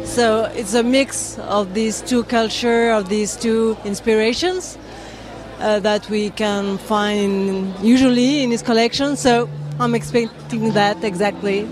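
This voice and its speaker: A woman's voice: fairly high pitch, steady tone, slow. A woman speaking hesitantly in a casual television interview clip. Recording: TV clip over music, crowd noise. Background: music and crowd.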